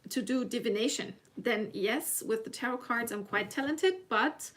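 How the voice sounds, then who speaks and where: A woman's voice: on the high side, normal speed, fairly bright tone. A woman whose first language is German, speaking English with a German accent, hosting a casual live stream and chatting with her viewers. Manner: chatty, self-laughing, reads chat aloud.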